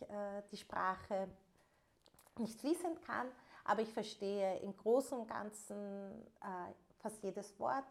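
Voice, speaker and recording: A young woman, co-hosting a podcast in casual chat, speaking thoughtfully with frequent hesitations. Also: medium pitch. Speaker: podcast co-host.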